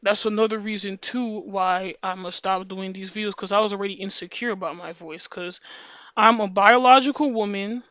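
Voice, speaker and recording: A woman whose voice sits deeper than most women's, yet comes across smooth and bright. She talks to her viewers in a casual, slangy ramble, restarting and repeating phrases as she goes. The recording is close and dry. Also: steady tone, normal speed, dry recording. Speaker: video creator.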